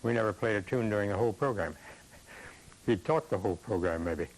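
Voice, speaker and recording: Low-voiced older man reminiscing. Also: brisk, poor audio. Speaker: interviewee, former radio musician. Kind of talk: casual reminiscing.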